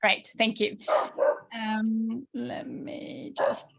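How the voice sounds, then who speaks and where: A woman's voice: a little high, little pitch movement, very slow, vocal fry, fairly bright tone, a little hiss or hum. A woman from Sweden, a native Swedish speaker with a Swedish accent, chattily and enthusiastically leading an informal online workshop. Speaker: workshop presenter, entrepreneur and coach.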